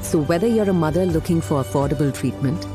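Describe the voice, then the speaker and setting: A woman's voice: deep voice, quick pace. A woman reading scripted promotional narration. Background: prominent music.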